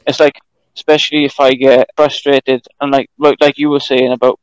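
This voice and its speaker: A Welsh man speaks in an even, level way, holding himself in check. His voice is smooth and clear. The sound is dry and close. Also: mid-range voice, dry recording, low-quality recording. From Wales. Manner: calm, measured, holding back swearing. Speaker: podcast guest. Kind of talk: casual chat.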